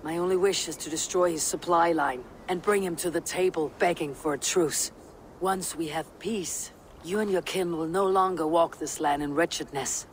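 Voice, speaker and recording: An actress playing a calm, resolute Viking warrior woman in a video game, speaking low and husky in a measured, solemn way. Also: a little hiss or hum, lightly acted. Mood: calm, earnest. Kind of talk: video game character acting.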